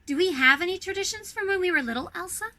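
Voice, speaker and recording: Woman acting a lively, high-voiced young princess. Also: fast, exaggerated voice. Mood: curious. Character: lively, warm-hearted young princess sister.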